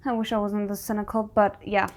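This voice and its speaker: A woman giving a casual live reaction to a drama series, thinking aloud in an informal, expressive way. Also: medium pitch, fast talker, a little hiss or hum. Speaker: reaction video host.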